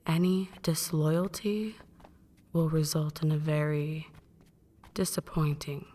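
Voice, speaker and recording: Woman acting as possessive vampire mistress, low-pitched, very slow, breathy whispers, menacing and teasing, intimate ASMR roleplay. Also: little pitch movement, dark tone. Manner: slow, breathy delivery slipping often into whispers.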